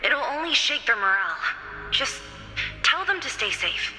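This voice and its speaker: A woman's voice: sits high, very animated, normal speed, echoey room, big-room sound, over loud music. An actress playing a reserved, curt but protective woman in a video game. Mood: reluctant.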